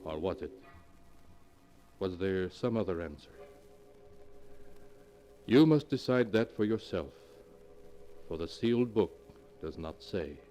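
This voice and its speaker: An actor playing a theatrical, portentous teller of sinister tales, hosting a 1940s radio horror show. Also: male voice, on the low side, mellow. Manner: dramatic, portentous. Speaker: narrator and host of horror anthology. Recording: vintage 1940s radio broadcast.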